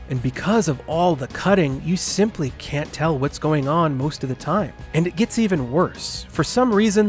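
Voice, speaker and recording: A man delivering scripted video-essay narration as the host of a film review. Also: fairly high pitch.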